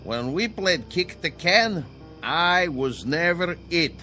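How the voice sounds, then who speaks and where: A man's voice: a little high, lively intonation, takes it slowly, sharp tone, soft background music, full character voice. An actor in a radio drama playing a frail, folksy old man who is boastful yet hesitant and full of wonder. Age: older.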